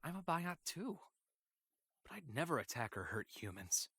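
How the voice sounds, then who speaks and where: A man's voice: on the high side, very animated, pushed and bright, husky, no echo, full character voice. A weary, obedient male android. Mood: detached, unsettled. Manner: measured, formal, subdued, with hesitant pauses. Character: weary obedient male android companion, polite, quietly troubled. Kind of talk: first-person diary narration in a sci-fi visual novel.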